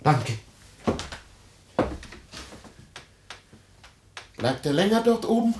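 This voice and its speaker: An actor playing an aggrieved, self-pitying middle-aged man with melodramatic, halting delivery in a 1950s radio play. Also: high voice, big pitch swings, a little mellow. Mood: polite, taken aback. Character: aggrieved, self-pitying married man, theatrically wounded and proud.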